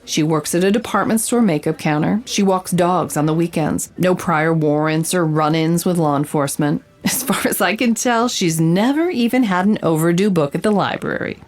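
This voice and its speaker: An actress playing a confident, sly investigative reporter in a noir-style audio drama, with a wry, knowing and measured delivery. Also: female voice, lowish voice, natural voice. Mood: matter-of-fact narrating. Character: confident, sly female investigative reporter narrating her case.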